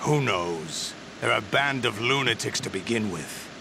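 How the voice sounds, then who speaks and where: An actor playing a cold, commanding terrorist leader in a video game, curt and gravelly. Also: male voice, fairly low pitch, animated, a little slow. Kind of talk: video game cutscene acting. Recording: noisy vehicle-like ambience behind.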